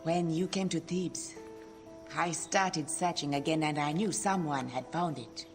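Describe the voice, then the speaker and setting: An actress playing a guarded, world-weary woman merchant in a video game, speaking gravely and dramatically. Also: low-pitched, a little slow. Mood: remorseful, fearful. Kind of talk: video game cutscene acting. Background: music.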